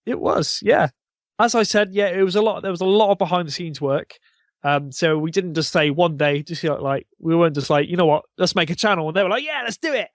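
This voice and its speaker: A man's voice: high voice, a little fast, dry recording, clean studio sound. A man talking casually as a podcast guest, rambling informally and with enthusiasm. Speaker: podcast guest, YouTube channel founder. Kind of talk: casual interview chat about a gaming channel.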